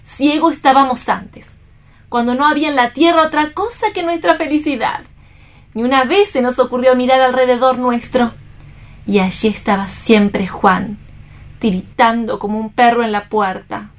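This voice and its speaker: An actress voices a young woman torn by remorse in a radio play. Her voice sits a little high. She phrases deliberately and earnestly, with melodramatic anguish and a self-sacrificing temper. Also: fairly even intonation, close to an everyday voice. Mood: remorseful. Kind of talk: staged radio theatre reading.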